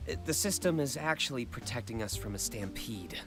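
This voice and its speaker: A man's voice: medium pitch, animated, a little fast, over music, close to an everyday voice. A man voicing a blunt, doubtful male friend in a visual novel.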